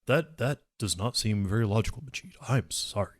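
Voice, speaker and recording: A man co-hosting a podcast in casual chat, speaking in a measured, somewhat hesitant way. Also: on the low side, expressive. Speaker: podcast co-host.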